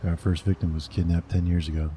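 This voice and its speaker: An actor playing a blunt, profane lead detective giving orders in an audio drama. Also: male voice, low voice, flat delivery, fast, dark tone, slight background noise, lightly acted. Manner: blunt, swearing, commanding.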